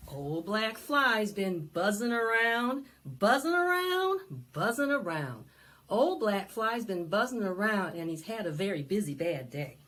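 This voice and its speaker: A woman presenting storytime for young children, singing and reading aloud in an animated, sing-song way that coaxes them to join in. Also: medium pitch, measured pace. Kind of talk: interactive preschool storytime, singing and read-aloud.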